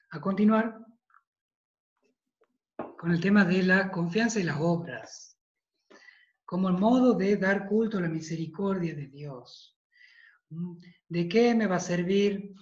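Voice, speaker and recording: A man in his thirties with a Tucumán Argentine accent, a priest giving an emphatic devotional talk over a video call, repeating phrases for weight and clearing a tired throat. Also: high voice. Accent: Tucumán Argentine Spanish. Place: study with bookshelves, indoors. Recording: roomy, dull video-call audio.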